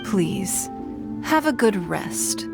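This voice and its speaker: A woman's voice: medium pitch, sing-song, drawn-out words, creaky, over music, full character voice. A woman playing a poised, confident noblewoman who rules a city, composed and formal in a game. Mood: gracious formal. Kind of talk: scripted game dialogue.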